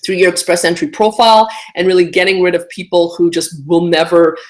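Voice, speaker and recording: A woman's voice: lowish voice, little pitch movement, a little fast. A woman whose first language is Romanian, a career coach and lawyer giving blunt, casual advice, full of fillers and tag questions. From born in Romania, raised in Canada. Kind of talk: casual talking-head advice video.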